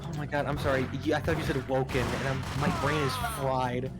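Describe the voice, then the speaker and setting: A man chatting casually as a guest on a game livestream, rambling and excitable, on a noisy mic with clicks. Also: mid-range voice, brisk, over music.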